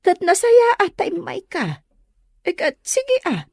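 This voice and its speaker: A woman's voice: extremely high pitch, wildly swooping pitch, slow, darkish tone, no echo, clean studio sound, full character voice. A woman with an Ilocano Filipino accent playing a plain-spoken, hospitable village woman in an audio comedy-drama. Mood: placating.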